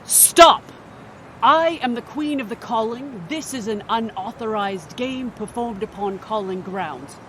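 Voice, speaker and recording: A man's voice: high-pitched, measured pace, sharp tone, slight background noise. A man playing a haughty, officious goblin queen in an audio drama, with a commanding, formal manner. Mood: imperious. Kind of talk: scripted audio drama acting.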